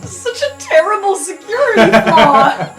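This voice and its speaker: A woman's voice: very high-pitched, big pitch swings, unhurried, reverberant, music in the background. A woman playing a tabletop roleplaying game with improvised in-character banter.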